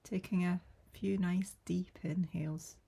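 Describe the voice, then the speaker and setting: A woman guiding a yoga nidra relaxation in a soft, breathy, soothing voice, gentle and unhurried with long pauses. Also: fairly low pitch, steady tone. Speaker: yoga nidra meditation guide. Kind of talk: guided relaxation meditation.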